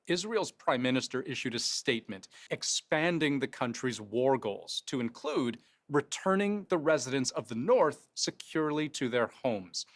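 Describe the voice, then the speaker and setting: A man hosting a news explainer, narrating from a script deliberately and posing questions before answering them. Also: medium pitch. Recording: music playing under voice.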